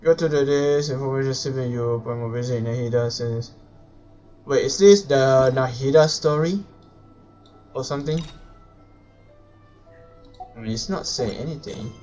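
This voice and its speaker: A male livestreamer speaks at a middling pitch, muttering and exclaiming as he plays. He repeats himself excitedly in a casual way. The microphone sounds dull and lo-fi, with faint music behind him. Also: measured pace, pauses often. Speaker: live streamer playing a video game. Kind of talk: casual game livestream reaction. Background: faint game music.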